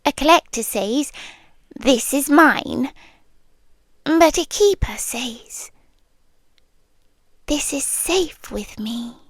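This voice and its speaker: A British woman playing a possessive, nonhuman yandere girlfriend in an ASMR roleplay, speaking softly and deliberately and often slipping into breathy whispers. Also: high-pitched, expressive, no room sound, studio quality, exaggerated voice. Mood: tender, possessive.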